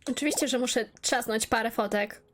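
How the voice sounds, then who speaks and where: High-voiced young woman doing gaming commentary. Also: fast. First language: Polish. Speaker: gaming YouTuber. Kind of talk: casual let's play commentary. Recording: music behind gaming commentary.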